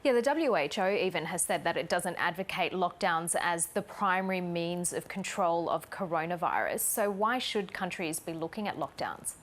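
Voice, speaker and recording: A woman's voice: fairly low pitch, lively intonation, normal speed, few pauses. A woman news presenter asking direct questions and reading the news.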